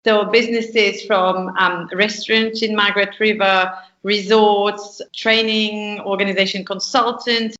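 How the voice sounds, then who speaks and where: A woman's voice: mid-range voice, little pitch movement, measured pace, hardly any pauses, bright, forceful tone. A woman from France with a French accent, a business lecturer explaining step by step as a podcast guest. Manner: earnest, explains with step-by-step examples. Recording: echoey room, dull lo-fi sound.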